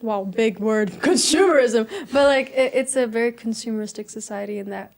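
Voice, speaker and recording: A woman's voice: on the high side, normal speed. A young adult woman from South Africa, a guest in a casual interview, talking reflectively and chattily and laughing easily.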